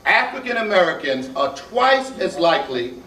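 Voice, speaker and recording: A man's voice: sits high, very animated, sharp tone, far from the mic, slight background noise. Older male historian, folksy lecture, heard from across a library hall. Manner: folksy, unhurried, conversational. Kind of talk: public talk to a library audience. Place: large library room with an audience. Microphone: handheld mic at a podium.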